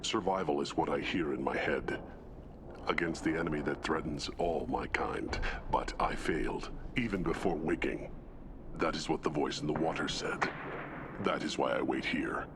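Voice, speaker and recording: An actor playing a solemn, childlike tank-bred alien brute in a video game, with a gravelly growl and halting, simple phrases. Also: male voice, background noise. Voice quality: very deep, gravelly, breathy growl. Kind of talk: dramatic video game acting.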